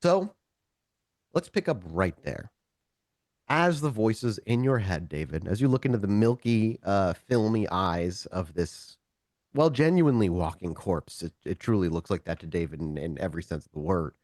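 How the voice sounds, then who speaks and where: A man running a tabletop roleplaying game, shifting between casual talk and deliberate, dramatic second-person narration. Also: low-pitched, expressive, a little mellow, no room sound, clean studio sound. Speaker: game master of a streamed tabletop roleplaying show.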